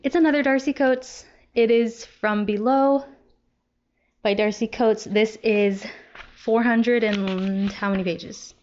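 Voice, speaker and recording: A woman's voice: on the high side, steady tone, slow, a little mellow, lo-fi sound, a little hiss or hum. A young adult woman talking casually to viewers as a book vlogger. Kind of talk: casual chat to camera.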